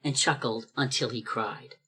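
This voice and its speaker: A woman narrates a radio play from a script. Her voice sits fairly low for a woman as she tells the story in storybook fashion. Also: normal speed, fairly bright tone, slightly rough. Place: performed remotely over a video call.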